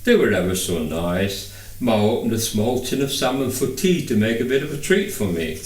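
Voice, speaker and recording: A man's voice: fairly low pitch, very animated, moderate pace. A man reading a first-person novel aloud as scripted narration. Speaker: audiobook reader. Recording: echoey room, distant microphone.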